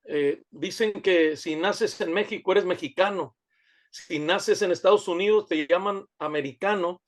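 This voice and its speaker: A man's voice: high voice, a little slow. A man pastor from the United States, a guest in an interview, speaking earnestly with many fillers and restarts. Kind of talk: conversational interview.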